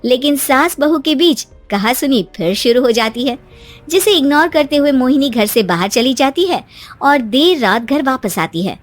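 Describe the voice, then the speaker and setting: A woman's voice: sits high, lively intonation, normal speed. A woman narrator reading a scripted moral story as a voice-over. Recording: voice-over with music. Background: music.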